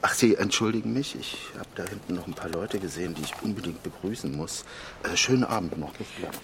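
An actor playing a middle-aged conservative politician in a radio drama, reserved and courteous, speaking in formal, hesitant phrases. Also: male voice, low-pitched, a little fast, background noise. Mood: courteous, probing. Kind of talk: naturalistic radio drama dialogue.

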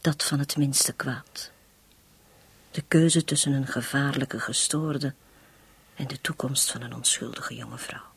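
A very breathy, husky woman in a radio play. She is bitter and resolute after years of suffering. She confides haltingly and with great intensity, often sinking toward a whisper. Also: rumbling low, fairly even intonation, heavily acted. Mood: haunted, hushed. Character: long-suffering household woman confessing to murder, bitter yet resolute. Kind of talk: radio drama acting.

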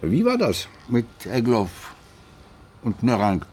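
A man's voice: medium pitch, huge pitch swings, slow pace, a little mellow, slight background noise. A man playing a retired police inspector, older, laconic and dryly probing, in a German radio drama. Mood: relaxed, musing. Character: retired Swiss police inspector, dry, unflappable grandfather.

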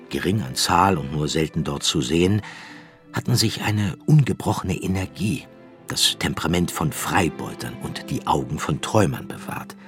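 Very deep-voiced male narrator. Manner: measured, storytelling in long literary sentences. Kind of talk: literary radio drama narration.

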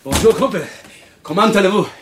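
A man's voice: high-pitched, expressive, fairly quick, very noisy. An actor playing a chummy, uneducated male prison cellmate with schemes in a radio drama, colloquial, eager and wheedling. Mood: matey. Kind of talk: German radio drama acting.

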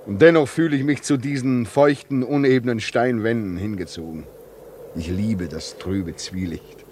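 A male actor playing a lonely, fearful and suspicious railway signalman in a German radio play, brooding and halting with sudden intensity. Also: on the low side, a little mellow, slight background noise, lightly acted. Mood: lonely, confiding.

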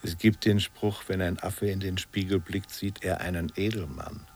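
An actor playing an enigmatic, teasing painter in a radio play, speaking in terse, measured questions and replies. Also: male voice, sits low, flat delivery, slightly rough. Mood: teasing, evasive. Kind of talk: radio play acting, intimate dialogue.

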